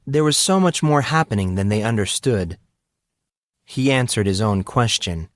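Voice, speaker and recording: Expressive male storyteller with lively intonation. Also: fairly low pitch, moderate pace, dry recording, studio quality. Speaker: audiobook narrator. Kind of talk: scripted narration.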